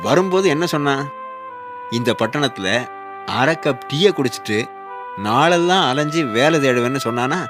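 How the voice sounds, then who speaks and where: Middle-aged male mentor figure played by a man: mid-pitched, frank, scolding radio-drama neighbour over music. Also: expressive, normal speed, long pauses, natural voice. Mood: admonishing. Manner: admonishing, rhetorical questioning. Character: frank, worldly-wise older male neighbour, scolding but caring. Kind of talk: Tamil radio drama acting. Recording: music behind the voice.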